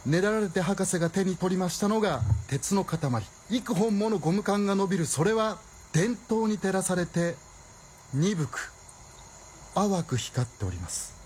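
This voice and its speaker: A man's voice: sits high, lively intonation, moderate pace, darkish tone, slight background noise. A man acting as a formal storyteller, narrating a radio melodrama in polite, theatrical, old-fashioned phrasing. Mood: solemn, storytelling. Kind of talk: scripted radio drama storytelling.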